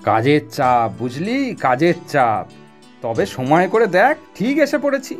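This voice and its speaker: A woman's voice: low voice, sing-song, a little slow. A woman voicing a genial, food-loving older uncle in a family cartoon, effusive and given to savouring exclamations. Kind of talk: animated family cartoon dialogue. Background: soft background music.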